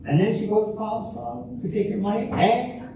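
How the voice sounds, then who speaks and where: An older man playing a stingy, scheming husband in an amateur comedy reading, halting and folksy as he repeats himself. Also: sits high, steady tone, vocal fry. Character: stingy, scheming old husband at a diner counter. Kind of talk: amateur reader's theater comedy performance. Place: large echoey room, live stage reading. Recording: echoey hall from far off, noisy.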